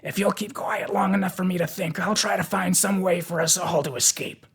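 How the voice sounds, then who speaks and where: A reader as a calm, husky scarecrow king. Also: medium pitch, voice pushed up. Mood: soothing. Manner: measured, musing, mildly pompous. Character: unflappable, kindly, kingly scarecrow man.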